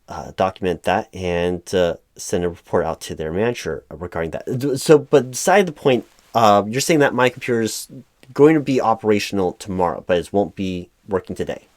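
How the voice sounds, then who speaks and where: A man playing a frustrated, flustered corporate recruiting manager in a one-sided roleplay, speaking in a halting, stammering, officious way. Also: fairly low pitch, expressive, no room sound, natural voice. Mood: frustrated, resigned. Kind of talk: one-sided ASMR roleplay, loud spoken.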